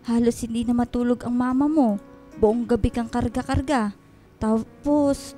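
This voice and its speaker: An actress playing a gentle, coaxing elderly housekeeper with a Filipino accent in a radio drama. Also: female voice, a little high, natural voice. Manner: coaxing, motherly, hesitant. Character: gentle elderly housekeeper, motherly, pleading, flustered peacemaker.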